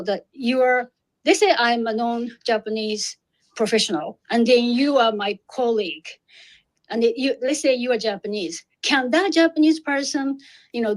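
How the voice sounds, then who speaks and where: A woman's voice: on the high side, rough recording. A woman co-hosting a casual conversation, polite and a bit apologetic, thinking aloud as she asks questions. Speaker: co-host.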